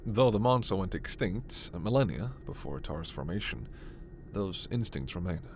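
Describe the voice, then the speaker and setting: A man playing a gentle, scholarly, protective alien doctor in a sci-fi ASMR roleplay, speaking in a measured, formal, precise way. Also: low voice, lively intonation, slight background noise. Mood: explanatory, earnest.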